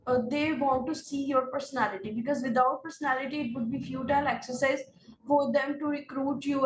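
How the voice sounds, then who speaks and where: A woman with a Pakistani English accent, an exam-prep instructor giving earnest, direct advice to candidates and pausing often on fillers. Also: high voice, slight background noise. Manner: earnest, direct advice in second person, many filler pauses. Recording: roomy, distant mic with faint ambience.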